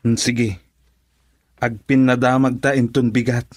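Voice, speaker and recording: A man's voice: medium pitch, little pitch movement, unhurried, dry recording, studio quality, soft background music, natural voice. An actor playing a concerned young man in a radio drama, speaking plainly.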